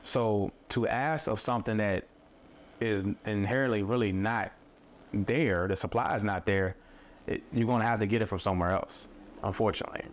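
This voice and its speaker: A man who makes mental health content, talking thoughtfully in a relaxed conversation, with many fillers and restarts. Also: fairly low pitch, normal speed, a little hiss or hum. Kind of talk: casual conversation. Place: studio set with a table.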